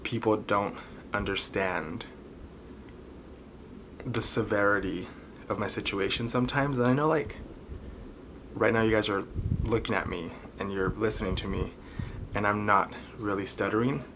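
A man in his twenties talking candidly to camera as a vlogger, halting and pausing often between short phrases. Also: lowish voice, fairly even intonation. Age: 20s. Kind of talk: candid personal talk to camera. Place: bedroom, indoors. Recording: clearly noisy room recording.

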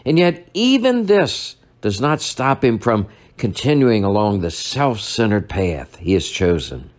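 Older priest preaching with lively storytelling. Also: male voice, medium pitch. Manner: measured storytelling, slowing for emphasis. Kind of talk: sermon. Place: church sanctuary at a lectern.

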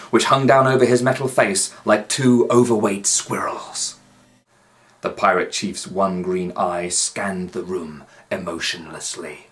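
A man's voice: deep voice, fairly bright tone. A man, narrating a children's story expressively and dramatically. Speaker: author reading aloud. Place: small home room, indoors.

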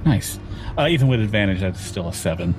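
Male tabletop player, low register, casual in-game talk with music underneath. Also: lively intonation, a little fast.

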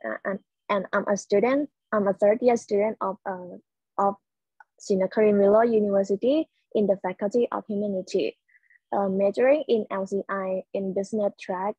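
A woman, a student answering job interview questions in a careful, halting way over a video call. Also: mid-range voice, steady tone, warm tone, slightly rough, no room sound, highs cut off. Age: 20s. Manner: careful, halting, restarts words.

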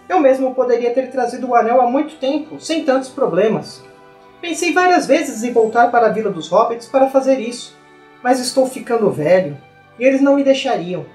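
A man's voice: extremely high pitch, darkish tone, echoey room. A man voicing an elderly, wistful hobbit who rambles reflectively in a storybook reading. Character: elderly male hobbit, wistful, chatty adventurer. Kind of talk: dramatized book reading. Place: small indoor room.

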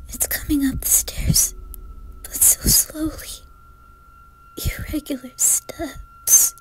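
A woman playing a terrified young woman trapped at home, intense, pleading and often hushed, in a horror story. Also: very high voice, huge pitch swings, takes it slowly, in a whisper, full character voice, low-quality recording. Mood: whispering, terrified. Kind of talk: dramatised horror story reading.

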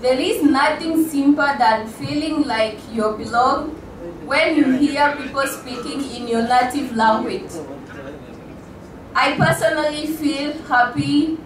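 A young woman from Rwanda, speaking English as a second language after Kinyarwanda, gives a slow, careful talk with many pauses. Her voice is fairly high, and she sounds a little distant from the mic. A roomy hall echo and a steady hiss sit behind her. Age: young adult. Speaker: student speaker. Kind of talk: prepared speech at a college event. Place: stage in a college hall. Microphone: stand mic in front of her. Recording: echoey hall, from a distance, with hum.

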